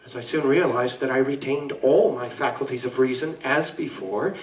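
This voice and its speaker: A man playing an anguished Victorian gentleman scientist in a live audio drama, speaking formally, eloquently and deliberately. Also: mid-range voice, natural voice. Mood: troubled, regretful. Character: anguished gentleman scientist confessing to a monstrous alter ego. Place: live convention performance in a room. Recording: roomy live stage recording, distant mic.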